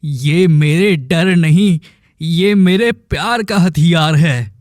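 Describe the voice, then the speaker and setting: An earnest young village hero from a cartoon tale, acted by a man, speaks in a fairly high male voice. His delivery is slow, dry and dramatic, that of a determined, devoted brother. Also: darkish tone, dry recording. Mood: resolute. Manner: dramatic, vowing, deliberate. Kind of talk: cartoon story acting.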